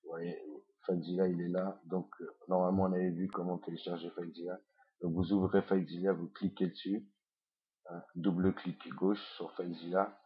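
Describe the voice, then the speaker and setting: A man's voice: low-pitched, flat delivery, a little fast, slightly rough, dull audio. A man with a head cold, giving a hesitant screencast tutorial as an online course instructor, with many fillers.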